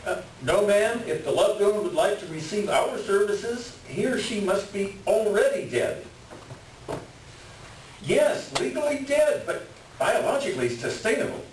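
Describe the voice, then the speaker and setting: In an echoey room, some way from the mic, a man acts out a harried doctor in a stage reading. His pitch leaps about as smooth professional patter gives way to exasperated, stammering pleas. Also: sing-song, unhurried. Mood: professional, detached. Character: flustered male cryonics doctor, cornered and in debt. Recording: echoey room, distant mic, faint noise.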